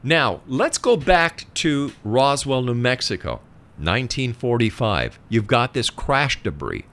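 Man, radio interview host, mid-range pitch, emphatic explainer, conversational with read-out passages. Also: very animated, measured pace, a bit bright.

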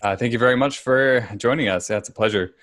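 A man's voice: medium pitch, quick pace, dry recording, clean studio sound. A man hosting a podcast interview, talking casually with frequent restarts. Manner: casual, warm, many "like"s and restarts. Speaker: podcast host and teacher.

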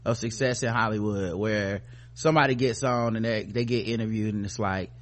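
A man's voice: lowish voice, fairly even intonation, fairly quick. An American man hosting a podcast, talking informally and opinionatedly, with rambling runs and casual profanity. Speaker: podcast host.

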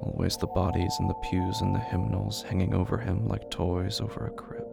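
A narrator reading literary horror fiction in a hushed, brooding, deliberate way, with a gravelly, breathy voice. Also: deep voice, little pitch movement. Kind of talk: slow literary horror audio drama narration. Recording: music bed under the voice.

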